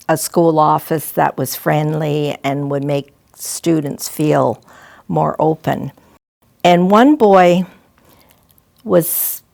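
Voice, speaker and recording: An older woman, a retired school principal, telling gentle, halting stories and advice to students. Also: sits low, creaky, no room sound. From Canada. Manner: gentle, halting, with frequent restarts and soft asides. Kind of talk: reflective storytelling to students.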